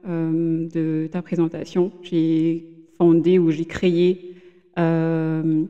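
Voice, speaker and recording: A woman's voice: sits low, flat delivery, takes it slowly, clear, resonant tone, big-room sound, lo-fi sound. A woman in her thirties, a podcast guest, talking casually with many hesitations and restarts. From Benin. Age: 30s. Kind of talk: casual interview chat. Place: room in a home in Germany.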